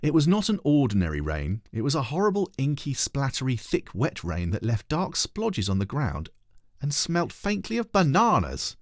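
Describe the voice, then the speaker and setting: A man reading a children's storybook aloud as a teacher, in an expressive narrating style. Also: medium pitch, moderate pace, dry recording, clean studio sound.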